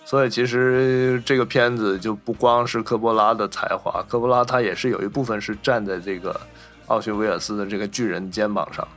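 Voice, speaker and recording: Guy hosting a film podcast, bright forward voice, tells stories casually, bit of music behind. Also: fairly low pitch, fairly even intonation, fairly quick. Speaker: podcast host. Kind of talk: casual film discussion, telling behind-the-scenes anecdotes.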